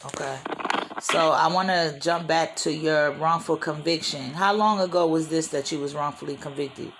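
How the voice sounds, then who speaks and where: A woman's voice: sits low, fairly even intonation, moderate pace, a bit bright, slight background noise, poor audio. A woman hosting a radio interview show, speaking in friendly, casual slang.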